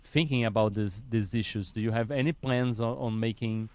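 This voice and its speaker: Animated podcast host man, dry sound. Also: lowish voice, moderate pace, dry recording. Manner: animated, swears, many fillers like "you know". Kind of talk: casual podcast conversation.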